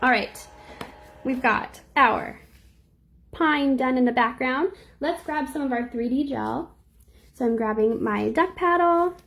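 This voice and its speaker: A young woman with a slightly squeaky voice, chatting casually through a live nail art tutorial and answering viewers as she works. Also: slow, gravelly, fairly bright tone. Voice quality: a little squeaky.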